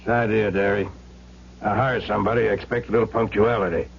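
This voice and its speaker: Gruff mob boss on old radio show, deep voice, barks short orders, muffled sound. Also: male voice, flat delivery, fast. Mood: irritated. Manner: curt, domineering, clipped. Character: gruff, impatient male crime boss, bossy and suspicious. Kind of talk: old-time radio crime drama acting. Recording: muffled, noisy old radio drama recording.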